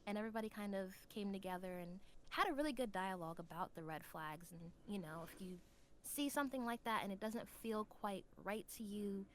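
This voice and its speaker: A woman's voice: mid-range voice, brisk. Woman podcast guest talking casually, earnest and thoughtful, bit of noise behind her. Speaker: podcast guest, new voice actor. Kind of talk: casual interview chat.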